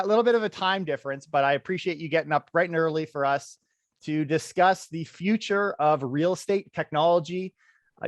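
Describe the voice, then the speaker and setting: An upbeat man hosting an interview show, with a fairly high voice. He speaks in friendly show-host patter. The sound is dry and close. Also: dry recording.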